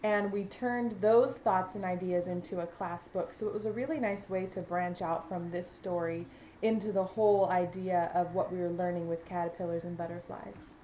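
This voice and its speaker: A woman's voice: on the low side, fairly even intonation, moderate pace, warm tone, a little hiss or hum, low-quality recording. A woman, a kindergarten teacher, reading a children's story aloud and adding teaching asides.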